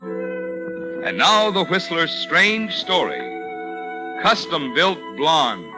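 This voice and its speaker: A man as an emphatic, polished 1940s radio announcer introducing a program and pitching its sponsor. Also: wildly swooping pitch, very slow, heavily acted. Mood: selling, emphatic. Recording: old radio broadcast with loud music.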